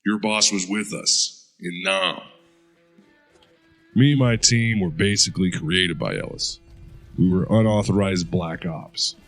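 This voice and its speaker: An actor playing a terse, guarded veteran supersoldier in an audio drama. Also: male voice, sits low, measured pace, a bit bright, faint music behind, exaggerated voice. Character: gruff old war veteran supersoldier, wry.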